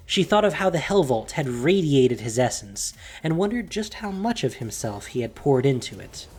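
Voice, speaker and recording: Audio drama narrator: husky, lively intonation, measured dramatic delivery, faint music underneath. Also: medium pitch. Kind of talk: scripted dramatic story narration.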